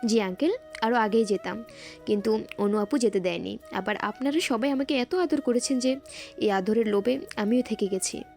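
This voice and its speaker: A friendly, curious young hostel girl acted by a woman. Her voice sits a little high and she chats and questions eagerly, with light music behind the audio-story dialogue. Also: moderate pace, darkish tone, lightly acted. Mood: polite, curious. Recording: music bed under the voice.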